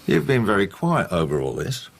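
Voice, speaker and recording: Low-voiced, blunt English police inspector. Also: male voice, very animated, moderate pace, creaky, heavily acted. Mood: businesslike. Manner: matter-of-fact, wry, colloquial. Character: blunt, jocular British police chief inspector. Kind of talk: radio drama acting.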